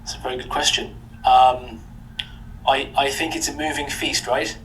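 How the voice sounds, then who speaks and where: British man, even monotone voice, reflective interview answer, faint room hiss. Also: medium pitch, rough recording. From Britain. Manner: thoughtful, measured, frequent ums. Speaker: street interviewee.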